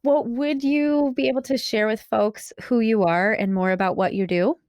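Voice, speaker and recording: A woman's voice: fairly high pitch, fairly even intonation, moderate pace, darkish tone, no room sound. A woman hosting a podcast interview from home, chatty and effusive, often laughing. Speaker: podcast host. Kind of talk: casual interview. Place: home, during lockdown.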